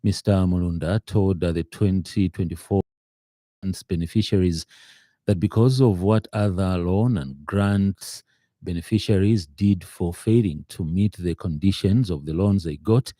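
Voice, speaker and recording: A man reads scripted radio news in a very dark, low voice. His delivery is formal and measured, with some halting, and the studio sound is dry and close. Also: dry recording. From Zambia.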